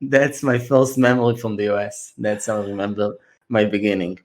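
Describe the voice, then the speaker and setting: A man's voice: on the low side, normal speed. Russian-accented man in his thirties. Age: 30s. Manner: earnest, steady storytelling. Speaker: trader and mentor, podcast guest. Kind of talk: casual podcast interview.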